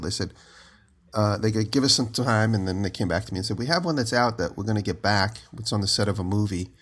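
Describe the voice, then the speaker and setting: A man with a lowish voice, still groggy and a little deeper than normal after waking up early. He rambles loosely through a story over a remote call from his home. His delivery is relaxed and chatty. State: just woke up, voice lower than usual. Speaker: podcast co-host. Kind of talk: casual chat.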